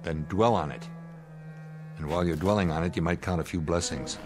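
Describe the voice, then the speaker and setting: Radio drama: male actor as steady American ship's commander, very low register, clipped, lecturing delivery, music behind. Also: fairly quick, close to an everyday voice. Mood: stern, commanding. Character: stern, steady male spaceship commander, stranded astronaut.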